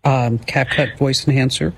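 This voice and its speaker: A man's voice: mid-range voice, steady tone, moderate pace, slightly rough, rough recording. A man hosting a radio call-in show, speaking in a conversational presenter's style. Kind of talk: conversational hosting and scripted ad reads.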